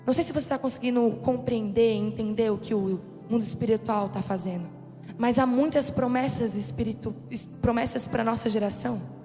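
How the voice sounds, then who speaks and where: Hoarse young woman preaching in an echoey hall. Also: on the high side, a little fast, mellow, faint music behind. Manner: conversational, calls for amens. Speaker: youth group preacher. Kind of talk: sermon. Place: church youth service hall.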